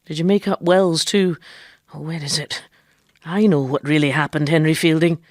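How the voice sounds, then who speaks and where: A woman's voice: deep voice, fairly even intonation, normal speed, dry recording, clean studio sound, lightly acted. An actress playing a bitter, defiant, foul-mouthed woman who lived as a man, in a period radio drama. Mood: angry, accusing. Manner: sharp-tongued, theatrical.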